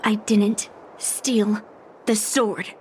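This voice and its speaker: An actress voicing a stubborn young swordswoman under suspicion in a video game, hesitant and deliberate. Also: mid-range voice, level tone, a little hiss or hum. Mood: guarded. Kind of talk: acted video game dialogue.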